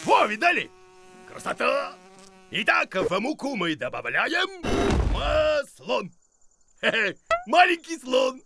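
High, wildly animated blustering cartoon creature. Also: male voice, slow, vocal fry, long pauses, background noise, exaggerated voice. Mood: boastful, excitable. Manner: pompous, exclaiming, muttering. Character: blustering, silly, boastful hairy male creature. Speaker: main character of children's cartoon.

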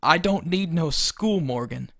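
A young man acts a flippant schoolboy drifter in an audio drama. His voice is gravelly and swings widely in pitch, with a dry, close sound. He brushes everything off with sarcasm. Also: expressive, measured pace, a bit bright, no room sound, full character voice. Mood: dismissive.

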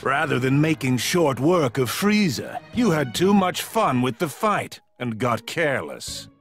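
A man's voice: mid-range voice, huge pitch swings, a little slow, soft background music. A man voicing a haughty, sardonic cat-like god of destruction in a video game, imperious and condescending. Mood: stern, condescending.

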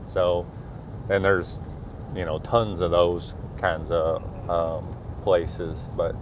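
A man's voice: sits low, level tone, slow pace. A middle-aged man, a school superintendent, chatting casually while driving his truck at night, thinking aloud with many fillers. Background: faint car engine and road noise.

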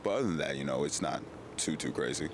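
A man's voice: extremely low pitch, expressive, fast talker. An eighteen-year-old male film student answering casually and hesitantly, full of uh and like, into a handheld mic outdoors. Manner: hesitant, lots of uh and like. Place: outdoors on campus. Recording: outdoor recording with clear background noise.

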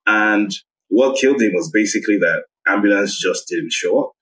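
A man, a guest recounting a personal story in a measured, reflective way. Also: fairly low pitch, fairly bright tone, soft background music, low-quality recording. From Nigeria. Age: 30s. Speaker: guest, investigative journalist. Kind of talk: personal account in interview.

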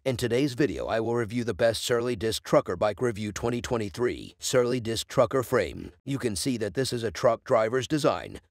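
A man reads a product review voice-over in a steady, even way. His voice sits at an ordinary middle pitch. The recording is dry and close, with no room sound. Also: moderate pace, hardly any pauses, no room sound. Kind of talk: scripted product review narration.

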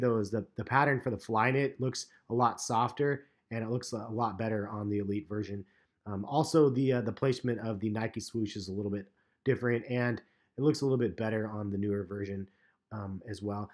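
A man in his thirties giving a relaxed, chatty sneaker review in a vlog, with plenty of ums and uhs. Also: mid-range voice. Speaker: sneaker vlogger. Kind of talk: casual vlog commentary. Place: parked car, and voice-over on store footage.

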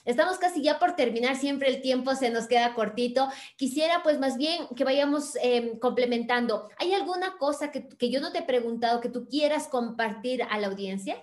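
A woman in her forties hosting a podcast interview over a video call from a bright room at home, effusive and affectionate with her guest. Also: sits high, moderate pace, hardly any pauses, roomy sound. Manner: warm effusive praise, affectionate sign-offs. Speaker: podcast host.

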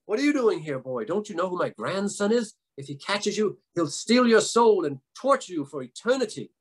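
A man's voice: mid-range voice, big pitch swings, normal speed, fairly bright tone. A man voicing the devil's grandmother in a folktale, an old woman who scolds and coaxes. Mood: scolding. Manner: scolding, coaxing.

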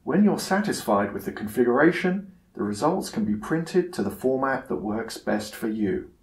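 A man giving a scripted software tutorial narration in a measured, instructional manner. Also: mid-range voice, sing-song, reverberant.

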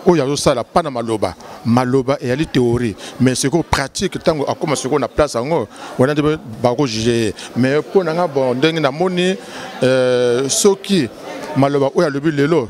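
An older man gives a measured, thoughtful answer in a street interview. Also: a little high, a little mellow.

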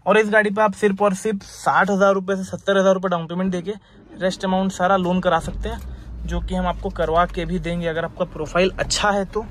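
High-voiced car dealer. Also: male voice, little pitch movement. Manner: brisk listing of features and prices. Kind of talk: car walkaround and sales pitch. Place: outdoor car lot, then inside a car on the road. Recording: outdoor noise and traffic.

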